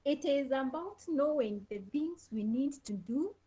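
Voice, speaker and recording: A woman's voice: high voice, expressive, a little slow, mellow. A woman lecturer teaching an online class over a laptop, repeating key phrases and questions to her students. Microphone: laptop on a video call.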